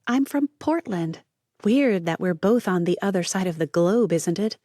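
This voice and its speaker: A woman voicing a chatty young American woman in an audiobook, lively and quick to tease. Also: medium pitch, dry recording, clean studio sound, lightly acted. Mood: teasing. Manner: lively, teasing, quick to banter. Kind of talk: audiobook character voice.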